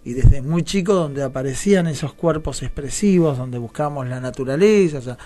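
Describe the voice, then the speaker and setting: A man's voice: medium pitch, fairly quick, dark tone. A man with a Rioplatense Argentine accent, giving reflective, digressive answers as a guest theatre director, often checking in with tag questions. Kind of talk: reflective radio interview talk.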